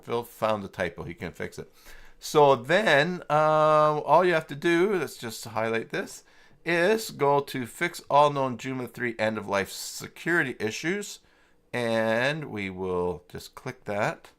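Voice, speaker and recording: A man hosting a casual live software tutorial, talking informally and haltingly as he thinks aloud while chatting with viewers. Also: mid-range voice, sing-song. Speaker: livestream host demonstrating website tools.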